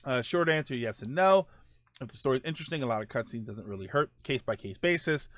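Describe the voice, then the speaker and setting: A man's voice: medium pitch, lively intonation, fast talker. A man hosting a casual live stream, thinking aloud while reading viewer comments, often restarting his sentences. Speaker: streamer host reading chat.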